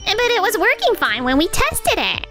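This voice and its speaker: Actress as young female rookie employee, eager, unsure, high-pitched, very lively intonation, manga dub. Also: normal speed, over music, heavily acted. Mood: flustered. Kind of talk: anime-style manga dub acting.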